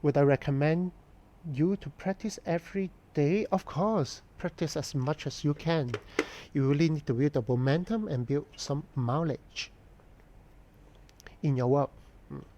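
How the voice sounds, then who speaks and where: Mellow-voiced man. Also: fairly high pitch. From Hong Kong. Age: 40s. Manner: unhurried, thoughtful, pauses often with "um". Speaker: artist live-streaming a drawing session. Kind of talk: casual live-stream chat while drawing, answering viewer questions.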